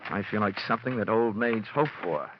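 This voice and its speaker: An actor playing a cocky, hot-tempered American insurance investigator in 1940s radio detective style, brash, sardonic and hard-boiled. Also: male voice, lowish voice, a little fast, close to an everyday voice. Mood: tough, taunting. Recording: muffled, noisy vintage radio recording.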